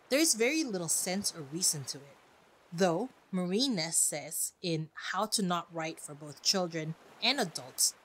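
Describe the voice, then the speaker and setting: A woman's voice: medium pitch, fairly bright tone, low-quality recording. A woman hosting a podcast, reading a prepared script in an informal, conversational way with chatty asides. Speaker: podcast host.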